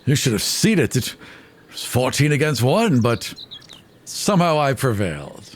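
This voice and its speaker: Man playing a boastful, theatrical bard, lively pitch. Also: moderate pace. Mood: boastful, teasing. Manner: grandiose, theatrical phrasing. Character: boastful, jovial male bard back in his hometown.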